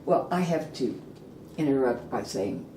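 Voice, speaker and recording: A woman with a low, mellow voice and a lively, sing-song delivery interviews her guests in a playful way. She is heard from a distance in an echoey room with faint noise behind. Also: unhurried. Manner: lively, playful, prompts with questions. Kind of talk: casual conversational interview. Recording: roomy, distant mic with background noise.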